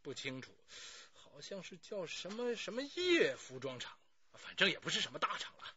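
A man's voice: high voice, sing-song, moderate pace, pushed and bright, dull audio, heavily acted. An actor playing a talkative, self-justifying middle-aged man under police questioning in a radio drama, pleading and sighing as he explains. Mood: explaining.

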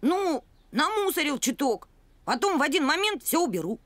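A woman voicing a carefree, messy young boy puppy in a children's cartoon, with an expressive, boyish delivery that grumbles and exclaims. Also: high-pitched, moderate pace, dry recording. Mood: grumbling. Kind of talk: children's animated series acting. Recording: music under the voice.

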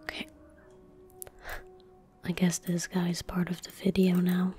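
A young woman speaking in a soft, breathy whisper, giving relaxed ASMR game commentary close to the mic. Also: lowish voice, faint music behind. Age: young adult. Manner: relaxed, unhurried murmuring. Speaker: ASMR gaming streamer. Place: small indoor room at a computer desk. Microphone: large microphone close to the mouth. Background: keyboard typing and mouse clicks.

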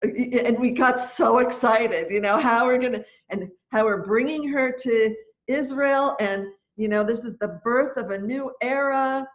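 A woman in her sixties, a choir leader, telling a story in an animated, chatty way during a lesson on a video call from home. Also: on the high side, a little slow. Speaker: choir leader and music teacher. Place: room at home, indoors. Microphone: laptop webcam on a video call. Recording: dull lo-fi video call audio.

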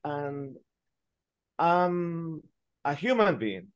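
A middle-aged man from Chile, speaking as a panelist on a video call through a headset, thoughtful and halting as he searches for words. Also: a little high, sing-song, smooth, lo-fi sound. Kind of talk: reflective panel discussion.

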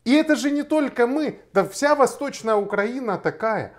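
A man's voice: extremely high pitch, lively intonation, normal speed. Thirty-something guy from kharkiv, arguing his point to camera, voice swings up and down a lot. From Kharkiv, Ukraine. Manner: emphatic, argumentative, gestures while talking. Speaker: lawyer and blogger. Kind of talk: direct-to-camera video reply. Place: small indoor room, plain wall.

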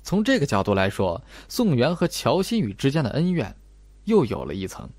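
Audiobook role: clever young male sleuth voiced by a man, calm, measured, explanatory, dry sound. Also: medium pitch, no room sound. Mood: cool, analytical.

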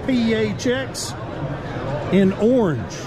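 Slow-paced, high-voiced man at busy expo. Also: animated. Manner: enumerates features in short admiring phrases. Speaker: boat show vlogger. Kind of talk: casual walkthrough commentary. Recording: busy crowded expo hall noise. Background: crowd chatter at a boat expo.

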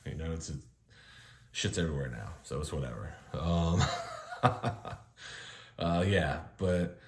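A man in his thirties from the United States, chatting casually to camera at home, relaxed and rambling with many restarts. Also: rumbling low, roomy sound. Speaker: vlogger. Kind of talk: casual vlog monologue. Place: home living room with kitchen behind, indoors.

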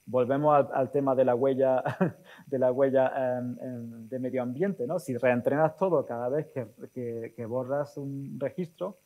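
A man in his forties speaking as a guest in an on-stage interview, thinking aloud haltingly and often checking with short tag questions. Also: mid-range voice, steady tone, dull audio. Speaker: guest speaker, technology executive. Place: auditorium stage. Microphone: lapel mic.

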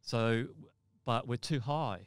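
A man's voice: mid-range voice, takes it slowly, clean tone, no room sound. An older man telling a first-person story conversationally as a podcast guest, often repeating and rephrasing his words.

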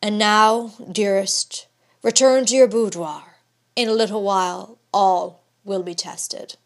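A woman's voice: medium pitch, steady tone, slow pace. A woman reading the part of an intense, proud male scientist, speaking sternly in formal old-fashioned language. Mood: sad tender.

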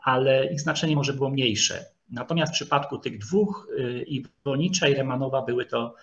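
A man giving an informal history lecture, speaking haltingly and repeating words as he goes. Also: medium pitch. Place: online video call presentation with screen share. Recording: dull, lo-fi online call audio.

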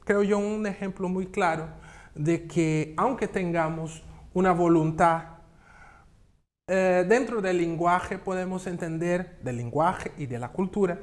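Slow, halting man in a TV studio. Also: high-pitched. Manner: slow, thoughtful, halting with repeats. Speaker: studio guest. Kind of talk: studio interview talk. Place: TV studio set.

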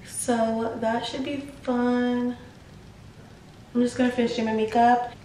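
A woman's voice: on the high side, flat delivery, unhurried, roomy sound, heavy background noise. Chatty young woman vlogging on a phone. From United States. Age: young adult. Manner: chatty, swears freely, says "like" a lot. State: tired. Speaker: travel vlogger. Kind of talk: casual vlog to camera. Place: indoors in a vacation house. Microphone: phone camera at arm's length.

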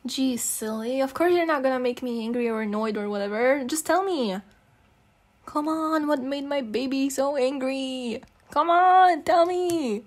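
A young non-native English-speaking woman in a casual, intimate girlfriend ASMR roleplay, talking in a slangy, teasing, coaxing way with giggles. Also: sits high. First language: not English. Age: young adult.

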